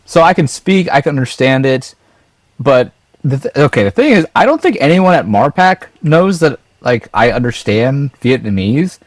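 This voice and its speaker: A laid-back man from the United States, a guest on a podcast, talking casually. His voice sits at a middle pitch for a man. The recording is dry, with no room sound. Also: sing-song, normal speed, dry recording.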